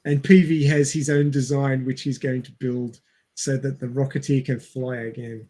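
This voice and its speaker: A man's voice: medium pitch, level tone, normal speed, warm tone. A man co-hosting a film podcast, reading narration and chatting casually with wry, dryly joking asides. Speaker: podcast co-host.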